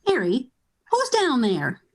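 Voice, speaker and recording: A woman playing a nosy, bossy mother in an old-fashioned radio play, with shrill, scolding calls. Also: huge pitch swings, takes it slowly, exaggerated voice. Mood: suspicious.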